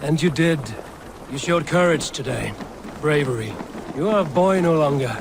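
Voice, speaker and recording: An actor playing a seasoned Viking warrior who speaks in a measured, steady way. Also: male voice, on the high side, noisy background. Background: music.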